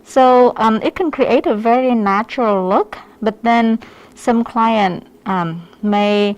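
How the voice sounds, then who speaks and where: A woman's voice: on the high side, slow, a little mellow, low-quality recording. A woman from Vietnam with a Vietnamese accent, casually explaining her craft on a live stream in somewhat hesitant, non-native English phrasing. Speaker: lash artist giving a demonstration.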